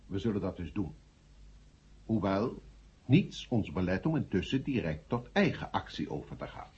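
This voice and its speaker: A man in a radio play acting a decisive, authoritative council chairman with a husky voice. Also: low voice, very animated, normal speed, darkish tone, exaggerated voice. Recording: dull old radio play recording.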